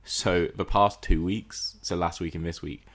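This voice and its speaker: A man's voice: low-pitched, steady tone, measured pace, a bit bright, slight background noise. A man talking as a podcast co-host in a casual, reflective and analytical way about film and TV.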